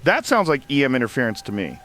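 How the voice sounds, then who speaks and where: A man playing an excitable radio host in a scripted drama, pressing with emphatic, slangy, incredulous questions. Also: mid-range voice, quick pace. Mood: curious. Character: excitable male talk radio host probing conspiracy theories.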